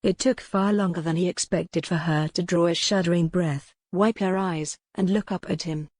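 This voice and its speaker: A woman's voice: lowish voice, fairly even intonation, normal speed, a little mellow, no room sound. A woman reading historical romance fiction as a scripted audiobook narration.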